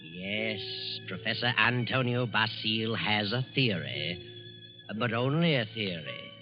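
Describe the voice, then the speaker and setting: A man with an American accent delivering measured, theatrical narration as host of a 1940s radio drama. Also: fairly low pitch, lively intonation. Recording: muffled 1940s radio recording, loud music.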